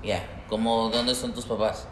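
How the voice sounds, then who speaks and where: A young man from the United States with a Mexican-American Spanglish accent, co-hosting a casual podcast in halting Spanish full of fillers and English words. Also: medium pitch, little pitch movement, fairly bright tone. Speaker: podcast co-host. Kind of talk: casual bilingual chat. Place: workplace. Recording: roomy echo, faint background noise.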